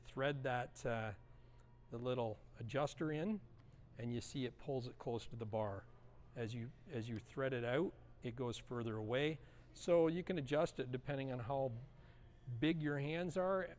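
A middle-aged man giving a relaxed, chatty walkthrough of snowmobiles in a large indoor showroom, filming on a handheld action camera and filling pauses with uh and um. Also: medium pitch, slight background noise. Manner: relaxed, chatty, lots of uh and um fillers. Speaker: vlogger touring a snowmobile dealership. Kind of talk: casual walkthrough presenting.

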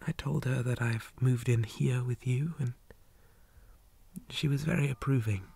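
A man playing a shy, polite zombie boy in an ASMR roleplay, speaking softly, often in whispers, hesitant and flustered with old-fashioned manners. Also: medium pitch, steady tone, a little slow, dry recording. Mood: shy, tender. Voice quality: soft, breathy, often whispered.

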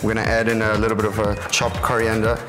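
Upbeat South African cooking show host. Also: male voice, fairly low pitch, completely flat delivery, fast talker. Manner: upbeat, slangy, laughs often. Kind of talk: casual cooking show banter. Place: studio kitchen set. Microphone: lapel mic. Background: background music.

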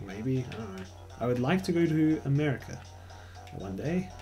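A young man with an Australian accent rambles through casual gameplay commentary. He swears often and pokes fun at himself. His pitch swings widely as he talks. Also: expressive, moderate pace, dark tone, soft background music. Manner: rambling, swears a lot, self-mocking. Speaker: let's play host.

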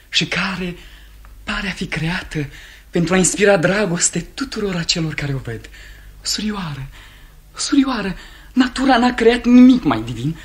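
A lovestruck young gentleman, played by a man, speaks in rhapsodic, declamatory phrases. His pitch swings widely with impetuous ardour, in the style of an old radio play. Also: lightly acted. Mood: rapturous.

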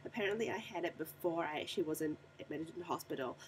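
A young woman with a croaky voice from a recent flu, chatting casually to a webcam in her bedroom with lots of ums. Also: low voice, very fast, a little hiss or hum, rough recording. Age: young adult. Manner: chatty and rambling, many ums. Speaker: beauty vlogger. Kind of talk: casual unboxing vlog to camera. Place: small bedroom indoors. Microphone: webcam at desk distance.

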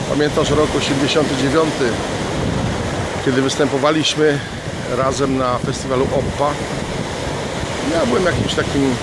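A man in his fifties from Poland speaking affectionately and reflectively in an informal heartfelt message to camera, recorded outdoors at arm's length. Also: fairly high pitch. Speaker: friend sending a video birthday tribute. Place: outdoors on a sea beach. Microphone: phone or camera at arm's length. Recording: loud surf and wind noise.

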